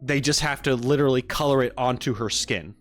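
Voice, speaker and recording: A man chatting informally on a reaction stream, explaining things and thinking out loud. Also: medium pitch, fairly quick, faint music behind. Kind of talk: casual reaction stream chat.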